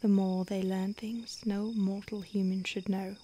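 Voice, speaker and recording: A woman playing an ancient, secretive vampire in a whispered roleplay ASMR, hushed and halting with deliberate pauses. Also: medium pitch, flat delivery, natural voice. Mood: grave, confiding. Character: ancient female vampire, secretive, urgent, weary, protective. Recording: faint hiss, outdoor night insects.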